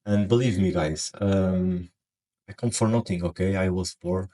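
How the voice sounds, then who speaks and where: A man from Portugal with a Portuguese accent, giving casual trading advice to viewers in a halting way, with many fillers and restarts. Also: deep voice, fairly even intonation, mellow. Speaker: forex trading educator.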